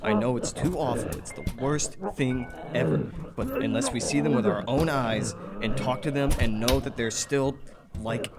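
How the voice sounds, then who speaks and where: A man's voice: mid-range voice, natural voice. An actor playing an urgent, practical, take-charge teenage boy in a radio drama, bossy and slangy.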